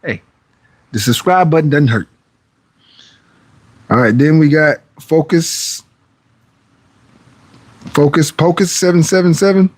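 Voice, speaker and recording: Man in his 40s, fitness stream host, slightly high voice, dry close sound, relaxed rambling chat. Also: slow pace, pauses often, no echo. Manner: laid-back, conversational, rambling with restarts and "man". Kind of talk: casual live-stream chat answering viewer comments.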